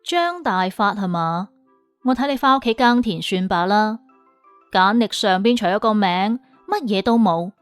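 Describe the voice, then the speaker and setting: A woman's voice: mid-range voice, expressive, measured pace, clear, resonant tone, no room sound, faint music behind, close to an everyday voice. A woman playing a haughty, scathing female job interviewer in an audio drama, lecturing with cutting contempt. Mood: scornful. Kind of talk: Cantonese audio drama acting.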